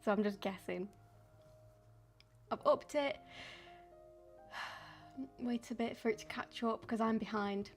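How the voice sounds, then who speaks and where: A woman's voice: on the high side, takes it slowly, long pauses, soft background music. A woman, chatting casually and a bit ramblingly while she paints on a live stream. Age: 20s. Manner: chatty and rambling, with frequent ums and soft laughs.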